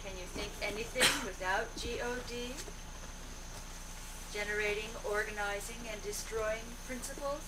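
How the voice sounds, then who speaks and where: A woman delivering a spiritual discourse in deliberate, declarative short phrases, as a speaker relaying a teaching aloud. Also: medium pitch. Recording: distant mic, noisy amateur video.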